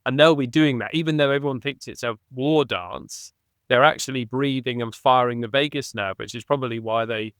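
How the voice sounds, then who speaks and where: Smooth-voiced former rugby player man. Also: medium pitch, brisk, no echo, clean studio sound. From England. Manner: thinks aloud with restarts, animated on key points. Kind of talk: reflective conversational interview.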